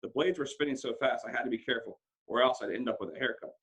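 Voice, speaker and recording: Gravelly, husky man reading a story aloud. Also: medium pitch, fast talker, fairly bright tone. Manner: dramatic, acts out dialogue, stumbles over words now and then. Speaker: school principal reading a book aloud. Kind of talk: expressive audiobook-style read-aloud of a first-person story.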